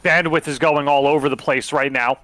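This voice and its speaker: A high-pitched man giving relaxed, casual esports commentary. He talks things through with small fillers as he explains what is happening in the match. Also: moderate pace, no echo.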